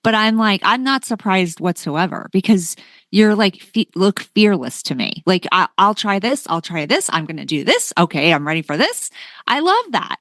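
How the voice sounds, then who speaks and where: A woman with a medium-pitched voice in a very dry recording. She speaks casually and supportively, repeating her phrases to stress her approval. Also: big pitch swings, moderate pace, fairly bright tone, no echo. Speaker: podcast co-conversationalist. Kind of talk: casual chat.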